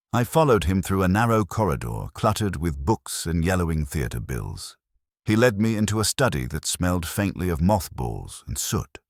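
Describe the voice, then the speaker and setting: A man reading a dramatic first-person mystery story in a measured, formal storytelling manner. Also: low-pitched, no room sound, clean studio sound. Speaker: audiobook narrator.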